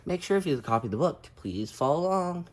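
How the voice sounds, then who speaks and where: A man's voice: fairly high pitch, animated, rapid-fire. A man hosting a children's read-aloud, reading expressively and speaking in an encouraging way. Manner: encouraging, addressing listeners as friends.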